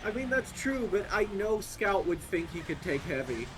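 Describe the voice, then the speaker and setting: A man commenting casually on a livestream, excitable, with stop-and-start phrasing. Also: high-pitched, normal speed, slight background noise, low-quality recording. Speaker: co-commentator on a story stream. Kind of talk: casual chat. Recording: faint music in background. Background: music.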